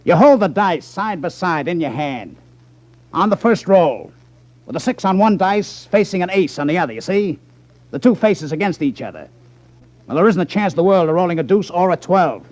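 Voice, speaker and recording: An actor playing a menacing, short-tempered crooked gambling boss with an American accent and a gruff, sneering manner in a 1950s radio drama. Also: male voice, high voice, animated, normal speed, darkish tone, no room sound. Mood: condescending.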